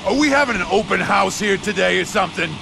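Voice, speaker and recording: Creaky-voiced misfit king, sardonic, dismissive, swooping delivery, game cutscene, loud outdoor noise. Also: high voice, animated, fast talker. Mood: suspicious. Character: gruff misanthropic tattooed skate legend, king of misfits. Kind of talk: video game cutscene acting.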